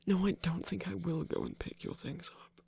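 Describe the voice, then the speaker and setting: A performer of unclear sex voicing a seductive villain lover in an intimate roleplay ASMR, teasing and protective, with a husky, breathy, often whispered voice. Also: medium pitch, moderate pace, a little mellow, heavily acted. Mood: playfully teasing.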